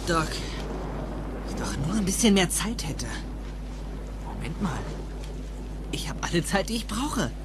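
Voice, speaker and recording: A man's voice: sits high, big pitch swings, unhurried, long pauses, noisy background. An actor playing an earnest, nervous teenage boy in a dubbed film, hesitant and easily startled, often urgent. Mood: anxious. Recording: film soundtrack with music behind.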